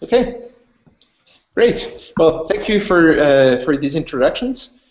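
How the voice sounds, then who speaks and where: A man's voice: on the high side, expressive, slow pace. A man hosting an informal online talk, hesitant and often repeating his words. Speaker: host. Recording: roomy, muffled online call audio.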